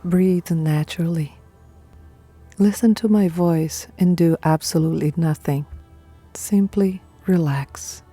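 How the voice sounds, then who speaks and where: Very slow, low-voiced woman guiding meditation. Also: slightly rough, soft background music. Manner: calm, unhurried, soothing, pausing between short phrases. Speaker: meditation guide. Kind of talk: guided meditation, scripted narration.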